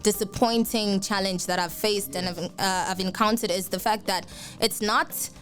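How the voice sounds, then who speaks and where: This is a young woman with a South African accent, talking openly about her work as an upcoming musician. Her voice sits in a middle register, and her manner is earnest and frank, full of "like". Faint music plays low behind her in the radio studio. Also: fairly even intonation, normal speed, fairly bright tone. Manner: earnest and outspoken, peppered with "like". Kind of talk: candid radio interview.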